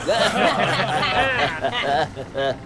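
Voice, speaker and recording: A man playing a gloating, slow-talking pirate captain. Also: on the high side, sing-song, reverberant, over a noisy crowd, heavily acted. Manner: slow, mocking, laughing.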